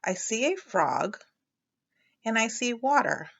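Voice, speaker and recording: A woman's voice: a little high, sing-song, takes it slowly, vocal fry, dull audio. A woman teacher leading a children's read-aloud lesson, explaining as she thinks aloud and prompting listeners with questions.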